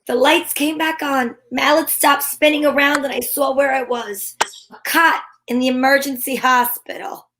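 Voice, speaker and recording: Woman acting a plucky wisecracking girl detective, high voice, old radio show style, noisy recording. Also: fairly even intonation, normal speed, close to an everyday voice. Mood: wry narrating. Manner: dramatic storytelling. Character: plucky wisecracking female private eye Candy. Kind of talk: old-time radio detective acting, first-person narration.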